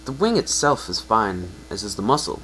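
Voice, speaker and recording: Man as a low-voiced, downcast young pegasus stallion. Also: big pitch swings, normal speed, a bit bright, slight background noise, rough recording. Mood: somber. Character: downcast young male pegasus, injured and self-blaming.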